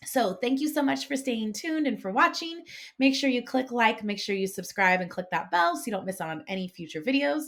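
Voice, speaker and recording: A woman's voice: fairly high pitch, expressive, brisk, a bit bright. A woman hosting an informal instructional vlog, explaining things conversationally like a classroom teacher. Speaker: classroom teacher and video host. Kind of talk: casual instructional vlog talk about picture books.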